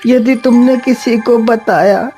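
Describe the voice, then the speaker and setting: Solemn storybook sage, voiced by a woman: measured cartoon folk-tale delivery, slow, over clear music. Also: on the high side. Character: solemn holy man giving grave warnings. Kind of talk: Hindi animated moral story acting.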